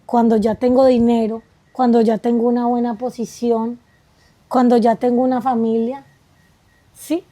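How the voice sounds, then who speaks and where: A woman's voice: fairly high pitch, level tone, mellow. A woman, talking reflectively as a guest, spiritual in tone and tagging rhetorical questions onto her points. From Colombia. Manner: spiritual, musing, quick asides with rhetorical questions. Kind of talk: reflective conversation.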